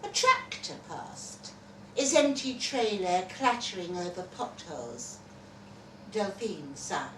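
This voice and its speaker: A woman narrates a short story live with a very varied pitch and an unhurried pace. She is recorded from a slight distance in a roomy venue. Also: sing-song. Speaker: story reader. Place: festival venue at an observatory. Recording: roomy, distant mic, background noise.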